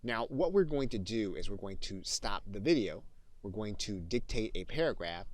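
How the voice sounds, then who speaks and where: Low-pitched man giving a software tutorial. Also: lively intonation, normal speed, no room sound. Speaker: software training instructor. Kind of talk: instructional tutorial narration.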